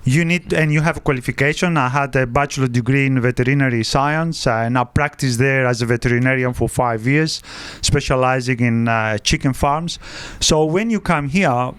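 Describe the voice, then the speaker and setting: Guest spot: man in his 50s, from Egypt, Greek-native, halting speech, smooth clear voice, dry studio. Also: mid-range voice, a bit bright, no room sound. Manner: halting, frequent "uh" fillers, non-native grammar. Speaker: guest, wealth adviser. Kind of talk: podcast interview.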